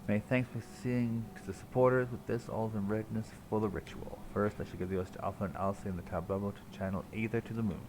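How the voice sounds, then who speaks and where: A man's voice: on the low side, fairly even intonation, fast talker, hardly any pauses, noisy background. A man livestreaming a video game, reading dialogue aloud and commenting casually. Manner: casual, sweary interjections. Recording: game music behind the voice. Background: video game music.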